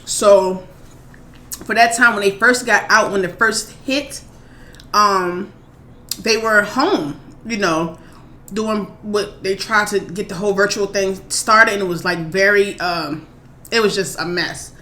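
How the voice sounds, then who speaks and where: A woman's voice: mid-range voice, slow. A woman in her thirties from Maryland, chatting casually and rambling through viewer questions on her eating show. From Maryland, USA. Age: 30s. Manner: rambling, chatty, relaxed, with many fillers. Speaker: mukbang vlogger. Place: home. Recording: echoey room, faint background noise.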